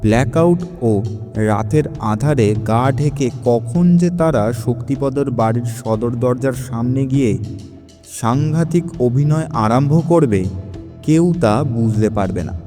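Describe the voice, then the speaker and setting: A man narrating a scripted story in a measured, literary manner. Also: medium pitch, mellow. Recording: long reverb, music behind.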